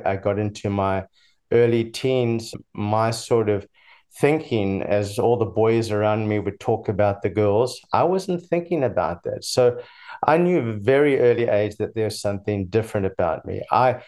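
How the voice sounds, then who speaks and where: A man's voice: lowish voice, darkish tone. A man in his sixties with a slightly mixed South African accent, telling his life story as an interview guest in a hesitant, reflective way. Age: 60s.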